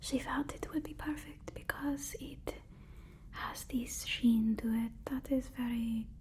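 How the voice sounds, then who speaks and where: A woman whispers slowly, in an ASMR roleplay as an obsequious assistant to a supervillain. She is fussy and deferential, halting and repeating herself as she goes. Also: high voice, steady tone, darkish tone. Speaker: ASMR performer.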